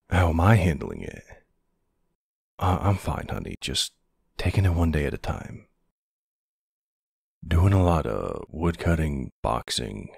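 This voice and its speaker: A man playing a gruff but tender, protective older man in an intimate ASMR boyfriend roleplay, speaking hesitantly with casual endearments. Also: very deep voice, a little mellow, no echo, studio quality, full character voice. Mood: wry, subdued. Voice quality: gravelly, husky. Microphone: close, dry microphone.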